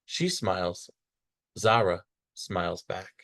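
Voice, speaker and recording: A man reading a children's picture book aloud with expressive, dramatic storytelling delivery. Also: deep voice, takes it slowly. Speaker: storybook reader.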